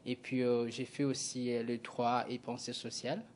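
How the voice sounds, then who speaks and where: A young man from Kinshasa, answering interview questions slowly and hesitantly, with frequent filler sounds. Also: medium pitch, flat delivery, slight background noise. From Kinshasa, DR Congo. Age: young adult. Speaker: guest, graduate student.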